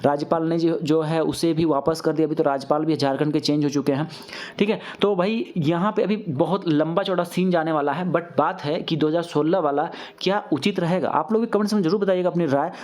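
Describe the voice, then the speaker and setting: A man's voice: a little high, brisk, a little mellow. A man gives informal news commentary to students as a host, speaking conversationally and mixing in English words. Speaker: news update channel host.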